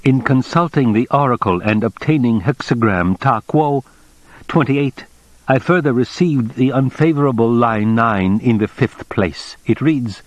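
A man reading a novel aloud, voicing a formal, measured middle-aged Japanese official who speaks with precise, deliberate courtesy. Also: medium pitch, no echo, pitched above the performer's own voice, rough recording.

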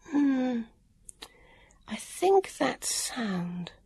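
A harassed, irritable woman guide, put on by a female reader with a raised, lively pitch, speaking brusquely and impatiently. Her voice has a husky edge, heard close and dry in an audiobook. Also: unhurried, no room sound, heavily acted. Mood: distracted. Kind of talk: audiobook character voice.

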